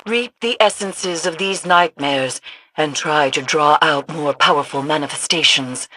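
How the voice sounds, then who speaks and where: A woman voicing a solemn, cryptic mystic mentor in a video game, husky, grave and instructing. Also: deep voice, unhurried, pushed and bright, slight background noise. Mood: commanding. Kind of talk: dramatic video game voice acting.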